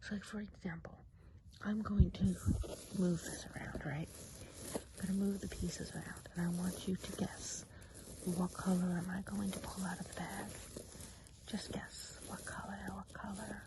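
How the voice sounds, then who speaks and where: A woman playing a friendly, chatty Christmas witch of the woods in a gentle, breathy ASMR whisper. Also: medium pitch, level tone, close to an everyday voice, rough recording. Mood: playful. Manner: gentle, unhurried, chatty and hesitant. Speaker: ASMR role-play host. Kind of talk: whispered ASMR role play.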